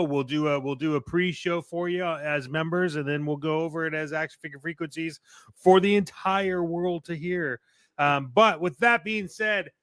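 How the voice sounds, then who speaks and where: A man's voice: high voice, a bit bright, no room sound. A man hosting a podcast, talking casually and emphatically to his listeners as he promotes the show. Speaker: podcast and radio show host.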